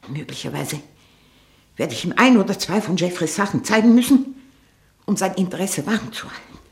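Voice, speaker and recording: A woman playing a domineering, scheming, sickly old aunt in a 1960s radio play, with a breathy voice that often drops into a whisper. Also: lowish voice, lively intonation, a little fast. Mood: calculating, resigned.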